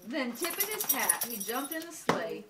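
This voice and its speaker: A woman's voice: sits high, very animated, moderate pace. A woman reading a rhyming cowboy Christmas tale aloud in a folksy, amused storytelling manner, as an amateur reader. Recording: roomy, distant mic, noisy home recording.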